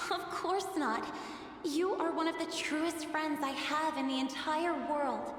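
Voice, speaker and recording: A woman playing a gentle water spirit in a video game, speaking tenderly and wistfully. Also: high-pitched, measured pace. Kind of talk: scripted video game acting. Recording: long echoing reverb, music behind.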